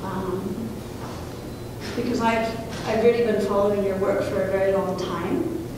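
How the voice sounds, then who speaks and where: A woman speaking as a professor hosting a student discussion, informal and halting as she searches for words. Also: medium pitch, little pitch movement. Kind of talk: informal spoken introduction to a guest. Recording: distant, very echoey room, noisy.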